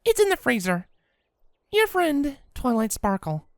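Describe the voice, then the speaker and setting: A woman's voice: sits high, sing-song, measured pace, no room sound, clean studio sound, full character voice. A woman voicing a friendly, orderly female pony reading out a letter to a friend in a chatty, helpful way.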